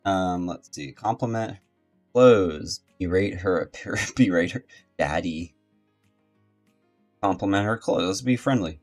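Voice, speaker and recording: A male game streamer with a low voice talks casually to his viewers. His commentary is loose and conversational, over faint game music. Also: takes it slowly, long pauses, a little mellow.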